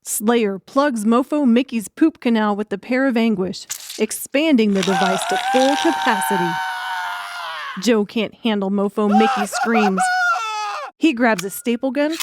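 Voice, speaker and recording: A woman's voice: on the high side, measured pace, background noise. A woman reading dramatic scripted audiobook narration as the storyteller.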